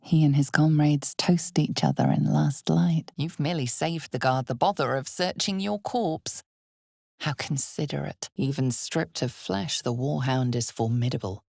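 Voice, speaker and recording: An ominous female narrator for a dark fantasy game, low and heavily creaky. She tells the tale slowly and knowingly, with weighty pauses and a deliberate, dramatic delivery. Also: no room sound, clean studio sound, heavily acted. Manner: measured, deliberate, weighty pauses, sometimes dropping to near whisper.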